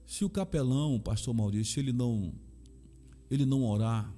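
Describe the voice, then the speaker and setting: A man's voice: medium pitch, a little fast, dark tone. Earnest Bahian man, emphatic. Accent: Bahian Brazilian Portuguese. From Salvador, Bahia, Brazil. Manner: emphatic, repeats key phrases. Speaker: chaplain and guest. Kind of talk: earnest conversational testimony.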